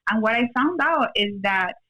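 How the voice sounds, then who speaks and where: A woman's voice: fairly high pitch, animated, normal speed, pushed and bright, lo-fi sound. A woman from Cuba and Venezuela, a native Spanish speaker, answering interview questions as an attorney in short, simple phrases. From born in Cuba, raised in Venezuela, in the US since her teens. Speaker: podcast guest, attorney.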